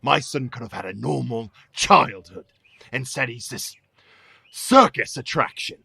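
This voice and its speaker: A man's voice: medium pitch, expressive, unhurried, creaky, bright, forceful tone, slightly rough, no room sound, clean studio sound, full character voice. A man playing an angry, domineering father in an audio drama, confrontational and demanding. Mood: bitter, indignant.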